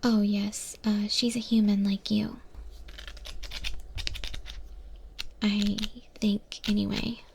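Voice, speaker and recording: An eldritch monster woman , played by a female performer guides a new kitchen worker in an ASMR roleplay. Her whisper is breathy and crackles with fry. She speaks slowly and evenly, patient with a faint exasperation. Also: medium pitch, little pitch movement. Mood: hushed, musing. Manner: slow, hushed, gently instructive.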